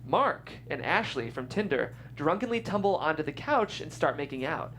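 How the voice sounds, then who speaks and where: Amused, lively stage-direction reader. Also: medium pitch, moderate pace, a little hiss or hum. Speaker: narrator reading stage directions. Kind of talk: script table read.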